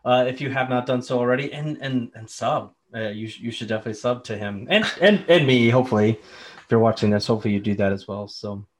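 A man hosting a casual gaming chat, relaxed and full of fillers like "um" and "like". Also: medium pitch, fast talker. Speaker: podcast host.

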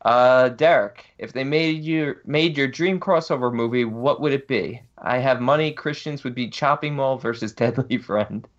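A man hosts a relaxed podcast chat, reading out listener questions between easy laughs. His voice sits at an ordinary pitch, and the recording is dull and lo-fi. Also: normal speed, hardly any pauses. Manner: laughs easily, reads questions out. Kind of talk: casual chat.

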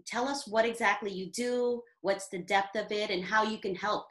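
Woman hosting a podcast, friendly quick reactions, mid voice. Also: medium pitch, fairly even intonation, a bit bright. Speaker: podcast host. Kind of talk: casual interview.

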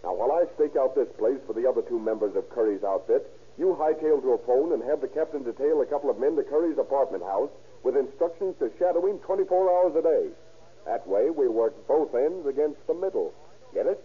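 A hard-boiled American police detective in vintage radio drama. He rattles along briskly in a husky, commanding voice, on a thin, tinny old recording. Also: medium pitch, animated. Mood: scheming. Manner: brisk, authoritative, clipped. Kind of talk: 1930s-40s radio crime drama acting.

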